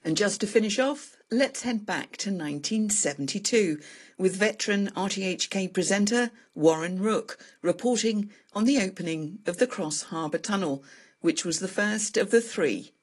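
Female radio presenter-interviewer, slightly husky tone, mid-range voice, conversational delivery. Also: mid-range voice, moderate pace.

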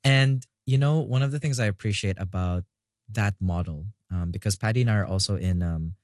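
A man hosting an interview in a casual, easygoing way, quick to laugh. His voice sits somewhat low, and the recording is dry and close. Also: darkish tone, dry recording. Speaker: podcast host.

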